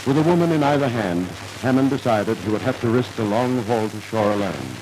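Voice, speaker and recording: A man's voice: mid-range voice, animated, fairly quick, noisy background. A man narrating a scripted 1950s radio drama in a formal, dramatic storytelling manner, on an old radio recording.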